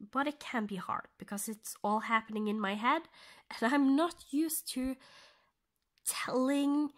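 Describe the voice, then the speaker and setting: Slow, soft-spoken Norwegian woman. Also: medium pitch. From Norway. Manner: soft-spoken, often half-whispered, thinking aloud with pauses. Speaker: cross-stitch vlogger. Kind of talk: casual stitch-along tutorial.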